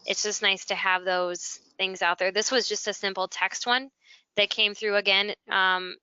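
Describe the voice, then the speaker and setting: An American woman with a bright, clear voice talks in a conversational, explanatory way, walking listeners through examples. Her intonation stays fairly flat, and the close, dry audio sounds like an online presentation. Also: medium pitch, normal speed, no echo, dull audio. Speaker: school technology integration specialist, webinar guest. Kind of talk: conversational software walkthrough.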